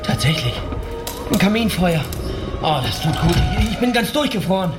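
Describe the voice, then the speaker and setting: A cold, shaken crash survivor. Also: female voice, on the low side, sing-song, moderate pace, over music. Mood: urgent. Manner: urgent, insistent. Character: frightened woman, train crash survivor. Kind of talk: radio drama acting. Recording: radio play with score beneath.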